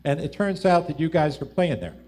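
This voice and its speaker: A man's voice: on the high side, very animated, fairly quick, over a noisy crowd. A man hosting a live music show, chatting casually with guests in a teasing, jokey way. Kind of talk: casual chat, interviewing guests.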